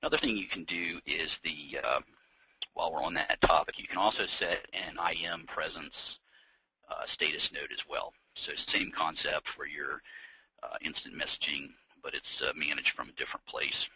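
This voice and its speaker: American guy doing a software webinar, deep and really raspy, forceful edge, chatty explaining. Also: steady tone, moderate pace, no room sound, poor audio. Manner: conversational, explanatory, practical examples. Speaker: software trainer leading a webinar. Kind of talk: informal software demonstration, unscripted walkthrough.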